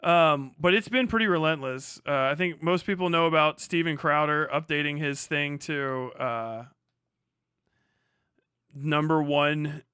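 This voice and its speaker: An American man with a bright, slightly high voice streams casually as he plays. His delivery is irreverent and sarcastic, with plenty of swearing, and the sound is crisp and close. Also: measured pace, no echo. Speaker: live streamer commenting while playing a video game. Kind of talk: casual livestream chat and commentary.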